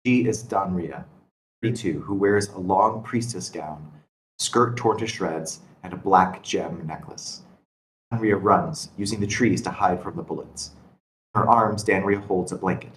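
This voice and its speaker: Echoey recording, man, very low pitch, scripted screenplay narration, faint noise. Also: measured pace. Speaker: reader of screenplay action lines. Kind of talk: scripted narration at a table read.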